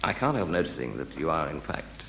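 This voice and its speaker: A man's voice: a bass voice, very animated, fairly quick. A man performing mock-serious, drawling wordplay as a panellist on a radio comedy show. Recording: muffled, very noisy live radio recording. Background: loud studio audience laughter.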